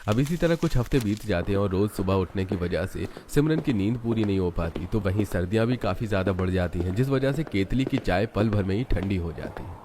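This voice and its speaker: Low-voiced man narrating a cartoon story. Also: lively intonation, a little fast, few pauses, mellow, slight background noise.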